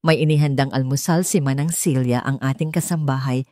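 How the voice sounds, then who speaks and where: A Filipino woman narrates a romance audiobook in a low-pitched voice. Her tone is soft-edged and mellow, reading from a script as a storyteller would. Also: steady tone, a little fast, no echo, clean studio sound.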